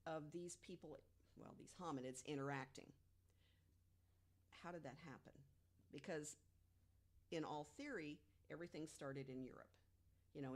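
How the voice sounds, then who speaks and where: A woman with a low voice talks through researched material on a casual podcast. She is emphatic and deliberate, often setting up points with rhetorical questions. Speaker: podcast guest panelist. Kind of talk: informal podcast storytelling from research notes.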